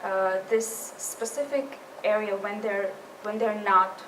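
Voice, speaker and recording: A woman's voice: mid-range voice, level tone, slow. A woman leading a writing tutor training session, explaining patiently and restarting her phrases. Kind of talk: workshop presentation. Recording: roomy distant mic with room noise.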